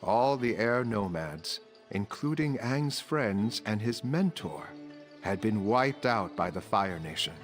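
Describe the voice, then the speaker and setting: A man playing a patient, wise older storyteller narrating a video game tale in a measured, solemn way with deliberate emphasis. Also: fairly low pitch, animated, faint music behind. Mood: grave storytelling.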